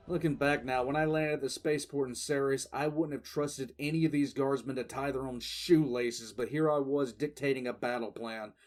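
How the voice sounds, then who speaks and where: A man playing a weary, resolute military commissar who recounts his war in deliberate, emphatic dramatic narration. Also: medium pitch, a little mellow, natural voice. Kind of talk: dramatic first-person audiodrama narration. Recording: music bed under the voice.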